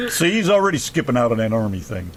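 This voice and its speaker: A man's voice: a little high, slight background noise. A man hosting a radio interview in casual, blunt, joking and salty talk. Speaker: radio show host.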